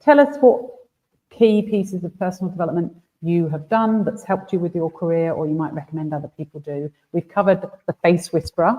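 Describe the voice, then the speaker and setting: Woman, host, chatty, lowish voice, thin phone-like sound. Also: a little fast. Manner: chatty, warm, many fillers and restarts. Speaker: host and trainer. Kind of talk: casual conversational interview. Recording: tinny phone-like line.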